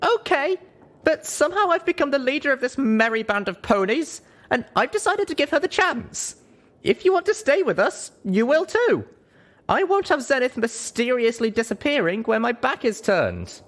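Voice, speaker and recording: A stubborn young pony mare played by a man takes charge in an assertive audiobook delivery. The voice is pitched very high and swings around as it gives orders. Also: sing-song, moderate pace, a bit bright, full character voice. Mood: firm. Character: young female pony leader, firm and stubborn.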